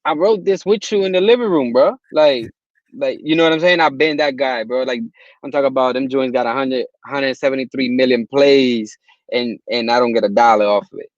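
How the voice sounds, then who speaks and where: A man's voice: mid-range voice, a little fast, dry recording. Man from Puerto Rico, laid-back slangy talk, dry muffled recording. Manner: relaxed, street slang, many restarts. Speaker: guest, music distributor. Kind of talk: casual interview talk.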